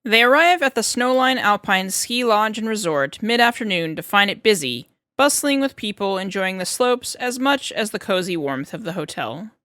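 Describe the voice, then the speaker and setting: A woman's voice: medium pitch, lively intonation, normal speed, hardly any pauses, sharp tone, dry recording, studio quality. A woman reading scripted fiction as an audiobook narrator.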